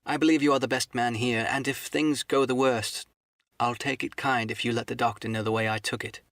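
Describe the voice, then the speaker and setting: A man's voice: mid-range voice, steady tone, brisk, fairly bright tone, no room sound, studio quality, lightly acted. A man voicing a bold, defiant boy adventurer with earnest, declamatory speech in an audiobook reading. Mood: excited defiance.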